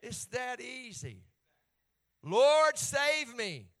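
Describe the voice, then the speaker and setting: A man's voice: very high-pitched, very animated, drawn-out words, fairly bright tone. A man with an Appalachian accent preaching a sermon in a folksy, joking way that rises to fervent emphasis when he reads scripture.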